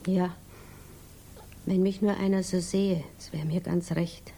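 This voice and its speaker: Mellow, confiding lovestruck young woman, hissy recording. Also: lowish voice, fairly even intonation, a little slow. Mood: despairing, yearning. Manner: confiding, hesitant, often dropping to breathy whispers. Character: naive, lovestruck young provincial widow, confiding and anxious. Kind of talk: 1950s German radio drama acting. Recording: hissy 1950s radio drama recording.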